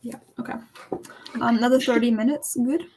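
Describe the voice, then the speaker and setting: A woman's voice: on the high side, steady tone, brisk, mellow, a little hiss or hum. A Canadian woman co-hosting a book club livestream in casual, playful chat. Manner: playful, chatty banter.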